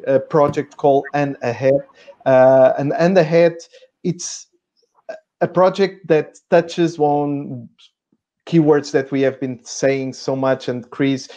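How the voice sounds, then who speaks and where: Flat, mellow-voiced male moderator. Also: mid-range voice. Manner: hesitant, restarts often. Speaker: panel moderator. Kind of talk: moderating a virtual panel.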